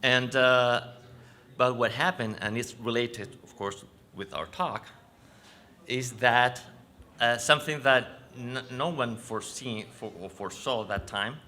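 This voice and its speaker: Conference speaker, male, Chilean Spanish accent, bright forceful voice, explanatory and halting delivery. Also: medium pitch. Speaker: panel speaker. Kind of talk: conference presentation. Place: conference venue stage.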